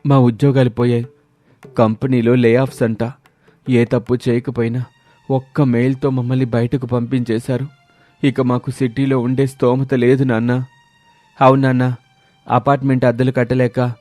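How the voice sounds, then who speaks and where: A man's voice: medium pitch, warm tone, no echo, lightly acted. A man voicing an earnest grown son from a village family in a story.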